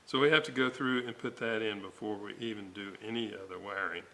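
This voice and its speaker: A man giving an informal repair walkthrough at a workbench, halting and thinking aloud as he works. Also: lowish voice, steady tone, sharp tone, poor audio. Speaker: host of a radio restoration video series. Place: indoor workbench, hands working on components. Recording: noticeable background noise.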